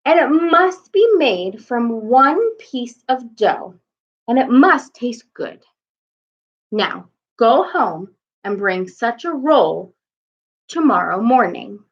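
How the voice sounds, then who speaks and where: A woman's voice: fairly high pitch, big pitch swings, slow pace, highs cut off, full character voice. A woman voicing an angry, imperious Duke from a storybook, roaring out commands. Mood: stern, demanding.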